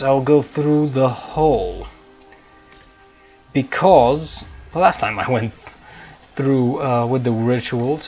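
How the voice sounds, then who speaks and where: Dull-sounding male gamer, thinking aloud. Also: mid-range voice. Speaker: gaming video host. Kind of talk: casual let's-play commentary. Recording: dull lo-fi microphone, game music underneath. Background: game music.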